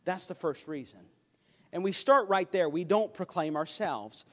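Guy pastor preaching in church, pretty high voice, big echoey hall, repeats his lines for emphasis. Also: normal speed, fairly bright tone. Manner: earnest, self-deprecating preaching with emphatic repetition. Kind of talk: sermon. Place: church sanctuary. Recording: long hall reverb.

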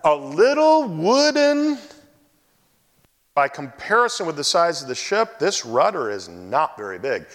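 An animated male pastor preaching in a church sanctuary, his pitch rising and falling with lively expression. His voice carries a long hall reverb, and now and then he lets out big loud calls. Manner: animated storytelling, loud shouted exclamations. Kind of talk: sermon with personal story.

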